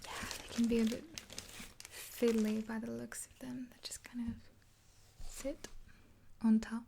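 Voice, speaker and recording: A young woman whispers gently and without hurry, as if reassuring someone in an ASMR roleplay. Her voice is breathy and soft-toned, slightly high and mellow. It is recorded up close, with a faint hiss behind it. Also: flat delivery, a little slow. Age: 20s. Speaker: ASMR performer playing a makeup helper. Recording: hissy close-up with clicks.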